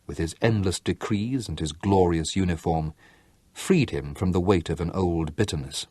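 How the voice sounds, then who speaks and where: A man reading a novel aloud as an audiobook narrator, measured and storytelling, with slow dramatic pauses. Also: low-pitched, mellow, slightly rough, no room sound. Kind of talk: scripted literary narration.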